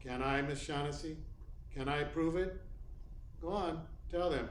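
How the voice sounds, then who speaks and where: An actor playing a tough, sardonic private detective in a 1940s radio style, with clipped, commanding delivery. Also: male voice, a little high, unhurried, natural voice. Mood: grimly resolved. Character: hardboiled, cynical male private detective, tough and unsentimental. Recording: roomy echo with noticeable background noise.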